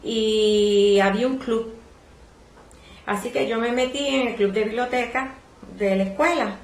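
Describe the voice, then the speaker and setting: A Puerto Rican woman in her sixties recalls her career as a librarian in a polite, measured, reflective way during an oral history interview. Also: a little high, slight background noise. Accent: Puerto Rican Spanish. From Puerto Rico. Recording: echoey room.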